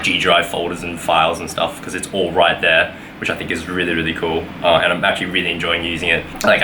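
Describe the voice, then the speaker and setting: A man's voice: low voice, background noise. A young man giving a casual weekly vlog update, rambling with lots of fillers and self-corrections. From Australia. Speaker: vlogger.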